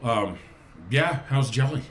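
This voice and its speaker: A man playing a tabletop role-playing game, chatting casually and haltingly, often repeating his words. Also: mid-range voice, lively intonation, slight background noise.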